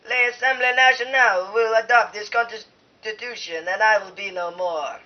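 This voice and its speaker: A man's voice: high voice, fairly even intonation, normal speed, recorded from a distance, a little hiss or hum, lightly acted. Puppet-show part, dull sound: man acts a declamatory, blustering, fretful French male character. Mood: doomed. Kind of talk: amateur puppet show acting. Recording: dull, muffled recording.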